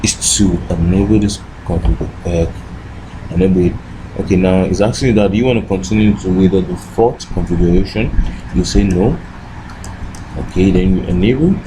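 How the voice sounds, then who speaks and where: A man's voice: deep voice, moderate pace, background noise. A man giving an informal step-by-step tech tutorial as an instructor, often posing short questions to himself and answering them. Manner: asks and answers own questions with repeated "what".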